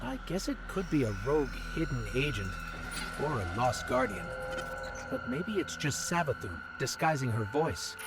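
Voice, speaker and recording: A man playing an earnest, wary robot companion in a video game, speaking in a measured, serious way. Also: a little high, sing-song, gravelly, over loud music. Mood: wary, curious.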